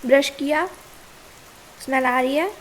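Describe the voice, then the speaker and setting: A woman's voice: high voice, a little slow, rough recording. A young woman with an Indian accent playing a caring but easily exasperated, nagging girlfriend in a casual ASMR roleplay, mixing two languages. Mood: angry, sarcastic. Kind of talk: ASMR girlfriend roleplay, Hindi mixed with English. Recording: hiss and rain sound behind.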